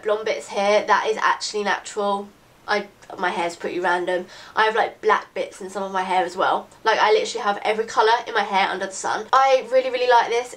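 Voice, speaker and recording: A young woman, chatting casually to camera in her bedroom while a bit bunged up from a cold, rambling with lots of "like". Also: a little high. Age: late teens. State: congested from a cold. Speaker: beauty vlogger. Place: bedroom, indoors. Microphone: camera in front at desk distance.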